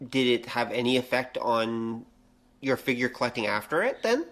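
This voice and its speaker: A man's voice: mid-range voice, sing-song, moderate pace, a bit bright, rough recording. A middle-aged man in casual conversation as a podcast host. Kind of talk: casual chat.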